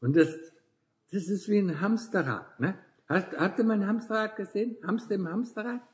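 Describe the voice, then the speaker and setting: A man's voice: high voice, moderate pace. A man in his sixties talking casually as a Buddhist teacher with visitors, tagging nearly every phrase with a questioning "ne?" and chuckling often. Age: 60s.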